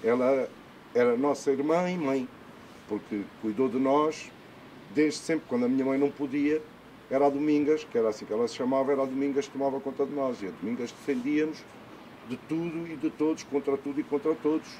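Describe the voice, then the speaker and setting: A man's voice: medium pitch, a little fast, dark tone, a little hiss or hum, rough recording. A man recounting memories in a documentary interview, emphatic and heartfelt. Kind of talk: personal recollection.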